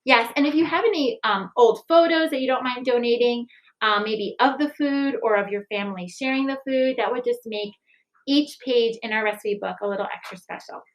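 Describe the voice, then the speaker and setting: A woman in an expressive, deliberate read-aloud style, like school staff sharing a picture book and news with families. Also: on the high side, rough recording. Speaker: school staff member reading aloud and presenting a recipe book project. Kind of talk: children's storybook read-aloud and school announcement.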